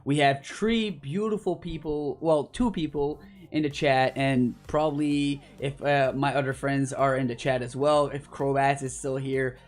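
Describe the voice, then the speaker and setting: A man's voice: medium pitch, fairly even intonation, measured pace, hardly any pauses. A man from Canada hosting a gaming livestream, chatting casually in a rambling, jokey way. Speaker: livestream host. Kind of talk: casual gaming stream chat. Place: at home in an apartment, live voice chat. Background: background music.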